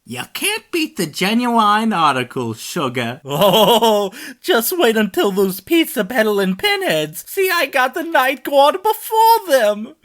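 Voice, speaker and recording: Smug sassy cat for a game, slow sarcastic drawl, voice swoops everywhere. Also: female voice, a little high, very animated. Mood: smug, taunting. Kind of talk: video game character voice lines.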